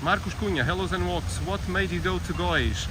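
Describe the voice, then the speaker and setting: A man's voice: high-pitched, normal speed. Portuguese man live-streaming over outdoor noise. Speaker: live-stream walking tour host. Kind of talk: casual live vlog chat. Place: outdoors, walking through a village. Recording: clear outdoor ambience and traffic noise.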